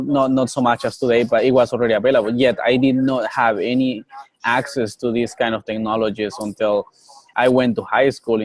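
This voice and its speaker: A young man from Colombia whose first language is Nasa, speaking as a guest in an earnest way and often repeating words as he searches for them. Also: mid-range voice. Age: young adult. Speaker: guest, coffee business owner. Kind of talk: casual interview.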